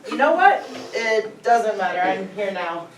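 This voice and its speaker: A woman playing an awkward, slightly wounded male neighbor with halting delivery in a comic play reading. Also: medium pitch, big pitch swings. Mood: hurt. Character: David, awkward wounded neighbor man, out of place. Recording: echoey, distant mic, audience noise.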